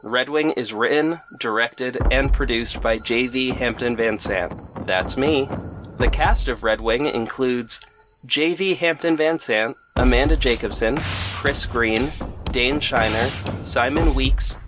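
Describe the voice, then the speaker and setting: A man's voice: medium pitch, slow, background noise. A man giving scripted first-person narration as the narrator of an audio drama. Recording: music behind the voice.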